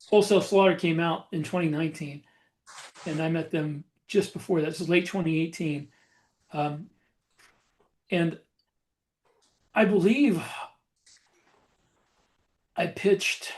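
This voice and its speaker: A man co-hosting a podcast in casual conversation, halting at times as he repeats and rephrases his points. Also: fairly high pitch, darkish tone. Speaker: podcast co-host and author.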